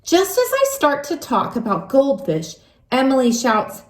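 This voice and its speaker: A woman with a fairly high, very lively voice reads a children's storybook aloud. She takes her time and draws out words for emphasis. The room adds a noticeable echo. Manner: animated, deliberate storytelling with drawn-out emphasis. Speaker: storybook reader. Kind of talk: expressive children's read-aloud narration.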